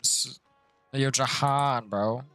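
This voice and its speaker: A man's voice: medium pitch, slow, pushed and bright. A man livestreaming a video game, reacting to it in casual, joking, slangy commentary. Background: game music.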